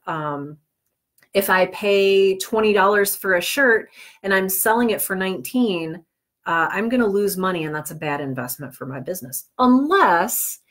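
A woman presents an informal tutorial to live viewers. She talks in a chatty way, breaking off into self-deprecating asides. She is slightly under the weather as she speaks. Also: fairly low pitch. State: under the weather with cold or flu, on medication. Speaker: livestream host teaching podcast business planning.

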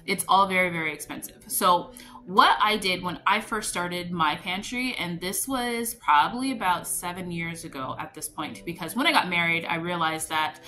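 A woman's voice: lowish voice, normal speed, bright, forceful tone. A woman in her thirties chatting to camera about stocking her pantry, explaining with her hands and laughing between points. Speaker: homestead vlogger. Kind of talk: casual chat to camera. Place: home room, later a grocery store and kitchen counter.

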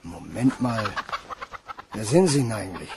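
A man's voice: on the low side, animated, measured pace, gravelly, background noise. A man playing a plain-spoken, obliging villager in a German crime radio play, with a folksy, blunt way of talking. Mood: wary, obliging.

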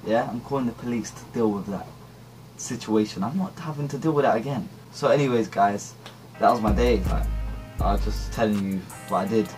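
A man's voice: lowish voice, brisk, soft background music. A teenage boy telling a casual storytime to camera, chatty and slangy, in a small bedroom. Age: teens. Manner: chatty, animated hand gestures, slangy. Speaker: vlogger. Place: small cluttered bedroom indoors.

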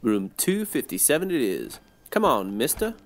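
A man's voice: mid-range voice, wildly swooping pitch, moderate pace, pitched above the performer's own voice. A man voicing an eager young boy bellhop of about ten in a radio play.

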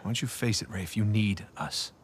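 An actor playing an earnest, anxious American adventurer in a video game, speaking in an urgent, imploring way. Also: male voice, on the low side, normal speed, slight background noise, natural voice. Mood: defiant. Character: earnest, anxious male adventurer pleading and bargaining. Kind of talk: video game cutscene acting.

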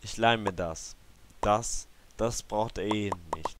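A man voicing a young, bewildered adventurer hero in an animated fan dub, casual and hesitant. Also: fairly low pitch, slow, noisy background.

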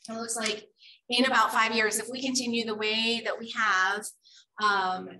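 A woman presenting program data at a school board meeting, steady and measured, with frequent ums. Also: a little high, noisy background. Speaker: school district English learner program presenter.

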